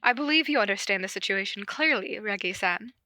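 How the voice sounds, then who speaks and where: A woman voicing a polite, level-toned young man with quiet menace in a story reading. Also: medium pitch, expressive, no room sound, studio quality. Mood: coolly menacing. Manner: courteous, measured.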